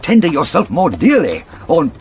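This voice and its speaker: A man's voice: fairly high pitch, huge pitch swings, normal speed, a little hiss or hum. An actor with a British accent playing a pompous, fussy older father and counsellor, lecturing in classical Shakespearean style. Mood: probing, scornful. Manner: declamatory, sententious, lecturing.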